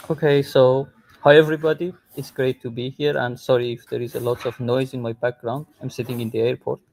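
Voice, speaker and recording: A man's voice: mid-range voice, flat delivery, fairly quick, creaky, darkish tone, poor audio. A man from Iran speaking conversationally as a tech co-founder and guest on a livestream call. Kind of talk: conversational self-introduction on a livestream. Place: airport, remote call.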